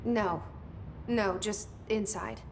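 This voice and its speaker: A woman playing a determined, wary young woman in a video game, direct and questioning in naturalistic dialogue. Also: extremely slow. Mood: wary, curious. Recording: clear background noise and rumble.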